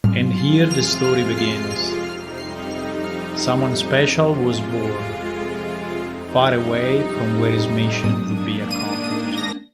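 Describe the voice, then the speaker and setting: A man reading scripted narration for a game intro in a slow, measured storytelling delivery. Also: mid-range voice, steady tone. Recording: narration under very loud music.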